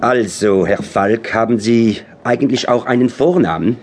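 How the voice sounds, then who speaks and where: An actor playing a composed French general in a radio play, speaking measured, cultivated German with a slight French accent. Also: male voice, medium pitch, a little mellow, a little hiss or hum, natural voice. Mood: suave, probing. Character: courtly, composed short stout French general.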